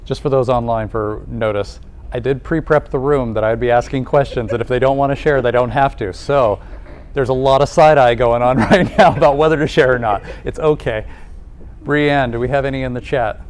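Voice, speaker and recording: Male presenter, mid-pitched, lively conversational delivery with rhetorical questions, live audience in the room. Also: brisk, clean tone. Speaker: presenter at a nursing college welcome event.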